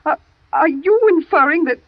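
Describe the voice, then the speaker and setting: An actress playing a suspected sister-in-law who protests anxiously, in old-time radio drama acting. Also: female voice, high-pitched, normal speed. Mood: protesting. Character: defiant sister-in-law under suspicion. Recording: dull old radio recording.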